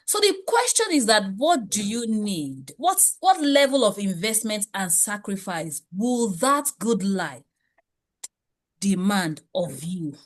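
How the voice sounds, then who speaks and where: A woman's voice: mid-range voice, big pitch swings, slow pace. A woman leading a motivational money class, speaking emphatically and preachily with many rhetorical questions. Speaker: wealth coach.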